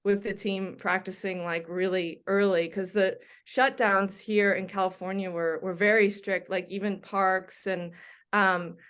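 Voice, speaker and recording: A woman's voice: medium pitch, steady tone, a little slow, fairly bright tone, smooth. A middle-aged woman from Denmark, a doctor and researcher, talking through her background as an interview guest, with frequent ums and restarts. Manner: chatty, many ums and restarts. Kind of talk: conversational interview.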